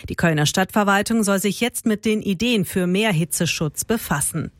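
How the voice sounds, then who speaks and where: A woman's voice: mid-range voice, moderate pace, dry recording. Woman filing a factual radio report. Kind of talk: scripted news report.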